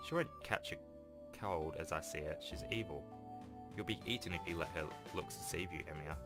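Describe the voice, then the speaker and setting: A man's voice: a bass voice, brisk. A man voicing a prim, suspicious teenage schoolboy who speaks in a blunt, judgmental way in a visual novel. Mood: disdainful. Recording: voice over game music.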